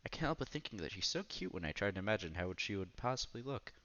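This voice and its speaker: A man's voice: fairly low pitch, big pitch swings, quick pace, a bit bright, lo-fi sound. A man reading a visual novel aloud as a streamer, with casual commentary along the way.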